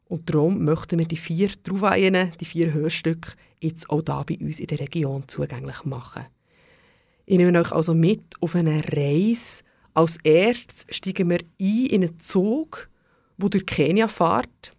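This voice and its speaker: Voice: mid-range voice, gravelly, no room sound, studio quality. A radio host introducing a radio play in a friendly, explanatory way.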